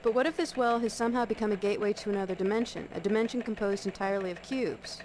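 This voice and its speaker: An actress playing an earnest, suspicious hen news co-anchor in a video game, questioning pointedly and dramatically. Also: female voice, mid-range voice, fast talker, a little mellow, a little hiss or hum, lightly acted.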